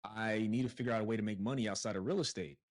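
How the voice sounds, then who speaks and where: Brooklyn-raised man with a low voice. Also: very fast. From Brooklyn, New York. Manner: conversational storyteller, frequent fillers and restarts. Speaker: podcast guest, real estate investor. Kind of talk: casual interview chat.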